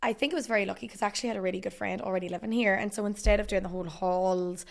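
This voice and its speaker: A Northern Irish woman in her twenties, a podcast guest with a slightly hoarse voice, telling stories in a chatty, laughing way. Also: mid-range voice, steady tone, rapid-fire. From Northern Ireland. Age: 20s. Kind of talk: casual chat. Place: studio.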